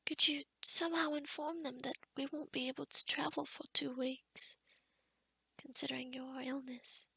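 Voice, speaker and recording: A woman playing a kind, sheltered young forest witch in a soft-spoken, half-whispered ASMR roleplay, gentle and hesitant with small stammers. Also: sits high, level tone, normal speed, no echo, heavily acted, low-quality recording. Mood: nervous, hesitant. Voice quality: breathy, whispery.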